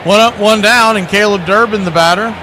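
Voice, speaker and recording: A man's voice: high-pitched, brisk. A man doing live radio baseball commentary as a play-by-play announcer, with folksy, colorful phrasing. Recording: clear outdoor ambient noise behind.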